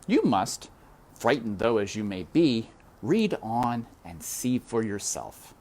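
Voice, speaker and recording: A man reads a children's novel aloud at an unhurried pace, with a lively, expressive pitch. He lingers on dramatic moments and pauses to speak directly to his listeners. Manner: dramatic, addresses listener directly, slow and deliberate. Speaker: reader.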